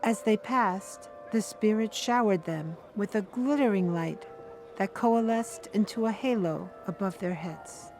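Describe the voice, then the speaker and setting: A woman narrating an audio drama as a scripted story, in a deliberate, measured manner. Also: mid-range voice, a little mellow, faint music behind.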